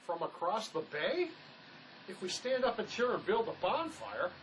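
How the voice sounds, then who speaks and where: A man plays a resourceful convict on the run in a drama, easygoing and folksy. His voice is on the higher side with a very mobile pitch. Light noise sits behind it. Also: sing-song, normal speed, fairly bright tone, poor audio. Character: laid-back, resourceful escaped convict, local fisherman type. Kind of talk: dramatic script performance.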